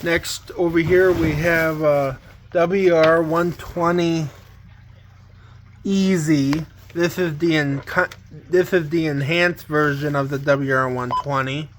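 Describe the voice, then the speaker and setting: Male hobbyist vlogger, lightly raised pitch, very unhurried and halting, plain-spoken casual walk-through at home. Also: steady tone, a little hiss or hum. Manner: halting, plain-spoken, pausing mid-sentence. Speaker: hobbyist vlogger showing a collection. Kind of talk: casual unscripted home video tour. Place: indoors at home, walking between rooms.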